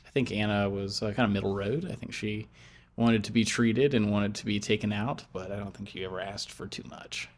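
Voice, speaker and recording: Young American man, lower voice, relaxed hesitant chat. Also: fairly quick. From United States. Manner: easygoing, hesitant with many ums. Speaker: fiancé. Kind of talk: casual couple chat.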